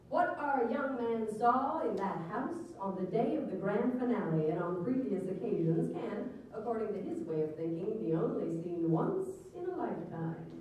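A woman's voice: mid-range voice, warm tone. A woman reading old newspaper articles aloud to an audience in a theatrical, arch storytelling manner. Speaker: reader at a public event. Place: hard-walled room with small stage. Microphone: stand mic, recorded by far camera. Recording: echoey hall, distant mic, some noise.

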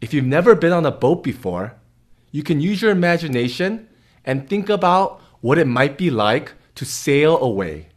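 A man's voice: a little high, sing-song, normal speed. A man in his thirties hosting a children's storytime, reading aloud expressively and speaking directly to young listeners.

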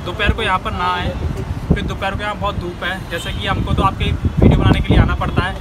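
A man's voice: high voice, flat delivery, fast. A man, presenting a casual vlog to camera on an open rooftop. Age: 20s. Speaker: vlogger. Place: open rooftop cafe above a busy street. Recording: clearly noisy outdoor recording, street traffic.

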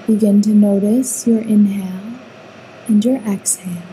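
A woman guiding a sleep meditation with soothing, unhurried instructions and long pauses between phrases. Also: medium pitch, fairly even intonation, mellow, slight background noise, low-quality recording. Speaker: meditation guide.

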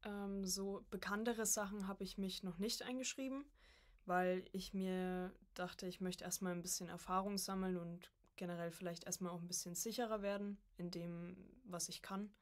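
A woman's voice: lowish voice, steady tone, moderate pace. A young woman chatting casually as a guest on a podcast, a student of voice acting.

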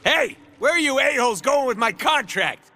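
An actor playing a cocky, foul-mouthed antihero mercenary in a video game, brash and sarcastic. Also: male voice, very high-pitched, animated, normal speed, gravelly, heavily acted. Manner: brash, sarcastic, exaggerated swooping delivery. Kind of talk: video game cutscene acting. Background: music.

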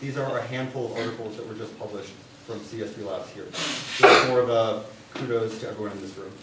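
A man's voice: on the low side, steady tone, a little fast. A young man giving an informal student science presentation, with casual, wry asides. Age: young adult. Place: university lecture hall. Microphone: far camera. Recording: distant room mic, very echoey, clear noise.

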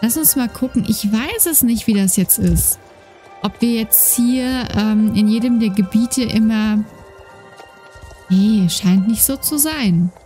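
A woman's voice: a little high, slow pace. A woman with a slight lisp, chatting casually while playing a horse game, with many fillers, on a desk microphone. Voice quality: slight lisp, soft trailing S sounds. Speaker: gaming YouTuber. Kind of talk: casual let's-play commentary. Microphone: desk microphone with noise gate. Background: game music and horse hoofbeats.

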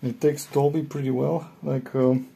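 Husky, gravelly man reviewing casually. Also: mid-range voice, level tone, warm tone. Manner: halting, thinking aloud. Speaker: hobbyist reviewer of cassette tapes. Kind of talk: casual, unscripted product review.

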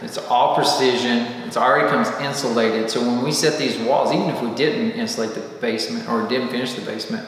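A man's voice: mid-range voice, steady tone, fairly quick, hardly any pauses. Man in an echoey empty basement. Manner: gestures a lot, explains with fillers and restarts. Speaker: home builder. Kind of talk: casual walkthrough explanation. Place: large empty basement room, indoors.

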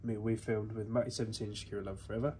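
A man's voice: on the low side, flat delivery, very fast, a little mellow. A man with a stuffy nose from a cold, chatting casually as a visiting vlogger and laughing between remarks. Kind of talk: casual chat. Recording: background noise.

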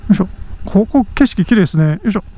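A man with a strikingly high voice narrates his motorcycle ride in a casual, exclamatory way. He often talks to himself and sounds easily amazed. A light layer of engine and road noise sits under his voice. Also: lively intonation, quick pace, dark tone. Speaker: motorcycle vlogger. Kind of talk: casual riding commentary. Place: outdoors on a moving motorcycle. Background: vehicle and outdoor road noise.

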